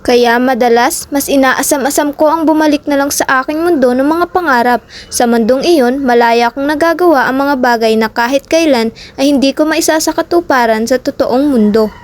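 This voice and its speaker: A woman narrating a children's story aloud in a steady, expressive way. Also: high voice.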